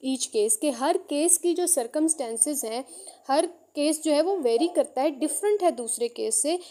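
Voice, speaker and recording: High-pitched Pakistani woman lecturing on law. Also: lively intonation, unhurried, dark tone. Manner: explanatory, repeats key points. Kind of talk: lecture, reading out and explaining statutes.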